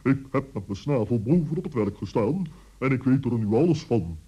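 A man's voice: medium pitch, animated, normal speed, dark tone, breathy edge. A man playing a self-important, earnest talking bird in a children's radio play, solemn and fussy. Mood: pompous, indignant. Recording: muffled old radio play recording.

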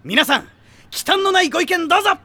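甲高くがなる威勢のいい男子高校生. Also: male voice, very high voice, fast talker, heavily acted. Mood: brisk, chairing. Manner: excitable, theatrical, rough youthful slang. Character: brash, loud high-school boy chairing a club meeting. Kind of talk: anime audio drama acting.

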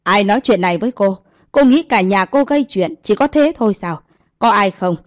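A woman voicing a domineering old grandmother who shouts and scolds scornfully. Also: medium pitch, animated, brisk, dry recording, studio quality, natural voice. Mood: scolding.

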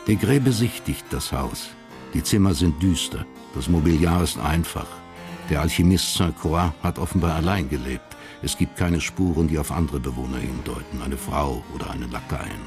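Casting: low-pitched narrator for period radio crime play, factual delivery, faint music behind. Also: male voice, normal speed. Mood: sober, factual. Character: sober male narrator of a historical crime story. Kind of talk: scripted radio drama narration.

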